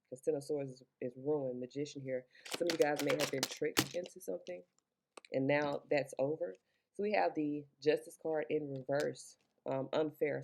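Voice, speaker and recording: A deep-voiced woman with a gravelly, fry-laced edge gives a loose, chatty tarot reading. She rambles, swears in passing and talks into Bluetooth earbuds. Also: darkish tone, a little hiss or hum. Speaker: tarot reader. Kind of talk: casual spoken tarot reading.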